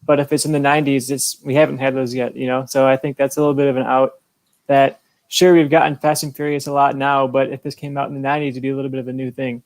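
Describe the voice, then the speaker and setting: A man's voice: mid-range voice, flat delivery, fast talker, darkish tone, dry recording, rough recording. A man in casual conversation, giving his opinion as a podcast judge. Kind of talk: casual chat.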